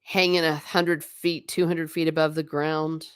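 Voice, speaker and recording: A woman's voice: sits low, flat delivery, measured pace, smooth, no echo, clean studio sound. A middle-aged woman chatting on a stream about travel photos, rambling and self-deprecating. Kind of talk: casual stream commentary.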